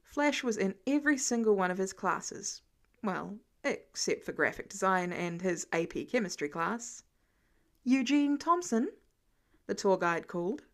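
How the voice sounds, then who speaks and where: A woman's voice: mid-range voice, expressive, normal speed. A woman reading a scripted story aloud as a narrator. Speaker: podfic reader.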